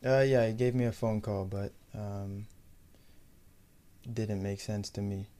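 Low, mellow young American man, even delivery. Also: a little slow. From United States. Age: young adult. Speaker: professional footballer being interviewed. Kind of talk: casual sports interview.

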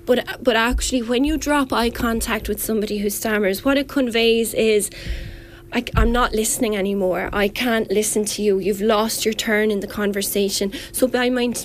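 A woman's voice: a little high, steady tone, normal speed, slight background noise. A woman speech therapist explaining as a radio guest, earnest and full of restarts and fillers.

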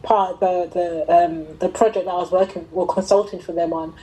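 Mellow, low-voiced reflective woman. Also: fairly even intonation, fairly quick, low-quality recording. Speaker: interviewee, fashion entrepreneur. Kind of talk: conversational interview answers, personal storytelling.

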